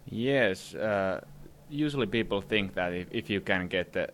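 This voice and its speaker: A young man talks about his work in a low voice, hesitating and filling gaps with "uh" as he goes. Faint noise sits behind him at a conference venue. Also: measured pace, fairly bright tone. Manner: hesitant, many fillers, laughs while talking. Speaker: filmmaker guest. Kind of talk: casual interview answers.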